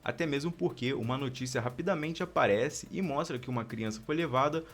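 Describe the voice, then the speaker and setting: A man's voice: mid-range voice, a little fast, fairly bright tone, faint music behind. A man with a Brazilian accent narrating a scripted horror explainer as a voice-over, with casual asides. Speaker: video narrator.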